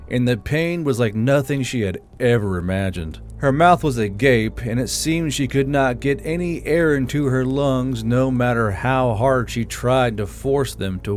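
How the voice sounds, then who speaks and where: A man narrating an audiobook chapter in steady, measured storytelling. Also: medium pitch, soft background music.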